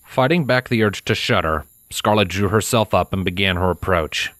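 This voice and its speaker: A man with a deep voice reads fiction as a narrator. His delivery is scripted and dramatic, recorded dry and close. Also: normal speed, a bit bright, dry recording.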